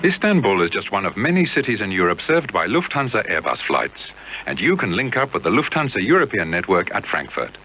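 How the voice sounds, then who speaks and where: A man's voice: lowish voice, big pitch swings, fairly quick, pushed and bright, a little hiss or hum. A man delivering a scripted airline radio advertisement as a voice-over announcer. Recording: muffled old radio tape. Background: music.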